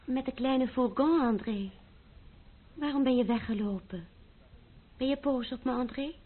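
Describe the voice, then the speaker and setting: A woman's voice: high voice, measured pace. An actress playing an emotional, reproachful young woman in love in an old radio play, stammering and pleading melodramatically. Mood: pleading, hurt. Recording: narrow-band old radio recording.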